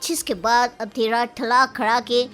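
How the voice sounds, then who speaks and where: Stern, scornful mother played by a woman, bright-edged. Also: on the high side, little pitch movement, a little slow, faint music behind, voice pushed down. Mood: angry, threatening. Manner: haughty, commanding. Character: stern, scornful middle-aged Chinese mother-in-law, cartoon villain.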